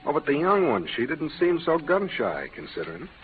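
Easygoing frontier innkeeper, polite cowboy, animated pitch, thin vintage radio sound. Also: male voice, fairly quick, slight background noise. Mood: easygoing, musing. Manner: folksy, reassuring, drawling politeness. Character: courteous, easygoing cowboy hotel owner. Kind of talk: 1940s radio western acting. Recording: tinny old radio recording, music behind.

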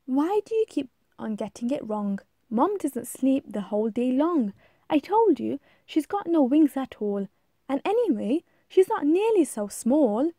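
A woman's voice: high-pitched, sing-song, mellow, dry recording, studio quality, exaggerated voice. A woman voicing a fretful, insistent young monkey in a rhyming storybook, plaintive and emphatic. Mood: exasperated. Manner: plaintive, emphatic, drawn-out protests. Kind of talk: rhyming storybook read-aloud.

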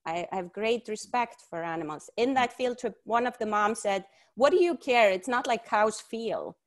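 A woman's voice: on the high side, normal speed. A middle-aged woman from Iceland, co-hosting a video call interview, talks earnestly and passionately with many fillers and restarts. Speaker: co-host. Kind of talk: casual video call talk. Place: home study with bookshelves and windows. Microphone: wired earbuds on video call.

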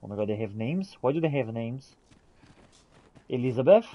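A man giving expressive, exclamatory in-character gameplay commentary, thinking aloud as he roleplays. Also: mid-range voice, normal speed. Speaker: gamer roleplaying as a character in a playthrough.

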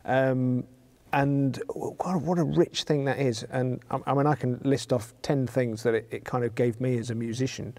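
Mellow-voiced man, hesitant interviewer. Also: mid-range voice, steady tone, normal speed. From England. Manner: hesitant, restarts, considered. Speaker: interviewer host. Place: studio hall with a grand piano. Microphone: lapel mic.

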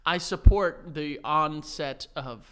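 A man in his thirties co-hosting a casual, comedic podcast with playful, animated banter, close on a studio mic. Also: a little high, slow, smooth. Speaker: podcast co-host. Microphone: close desk-mounted studio microphone.

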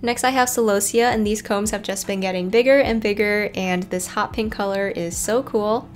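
A woman's voice: mid-range voice, steady tone, normal speed. Chatty woman over background music. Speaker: gardening vlogger narrating a garden tour. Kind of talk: casual narrated garden tour.

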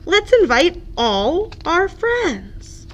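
Lady doing a bouncy eager girl duck from a kids storybook, squealy, high and swooping. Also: sing-song, drawn-out words, lo-fi sound, heavily acted. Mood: gleeful, eager. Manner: squealing, exclamatory. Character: excitable playful female duck, bouncy and eager. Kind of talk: children's storybook read-aloud.

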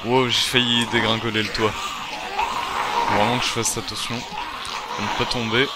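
A man's voice: mid-range voice, little pitch movement, normal speed. A man improvising first-person survival roleplay commentary for a game, thinking aloud in a colloquial, hesitant way. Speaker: gamer, roleplay series creator. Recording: very noisy, loud game ambience. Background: loud ambient noise.

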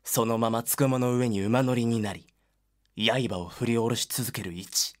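A male narrator reads a scripted drama in a medium-pitched voice. His delivery is dramatic storytelling. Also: steady tone, moderate pace.

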